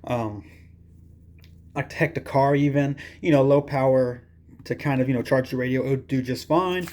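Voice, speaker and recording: Man, mellow dark tone, casual hands-on reviewer, rambling and hesitant, folksy phrasing. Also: mid-range voice, normal speed. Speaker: product reviewer.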